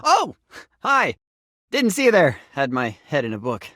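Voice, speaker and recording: Very animated, geeky game writer. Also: male voice, on the high side, measured pace, no room sound, clean studio sound, full character voice. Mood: friendly, geeky. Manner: chatty, enthusiastic, informal. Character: friendly, geeky, playful male game writer. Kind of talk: video game character voice acting.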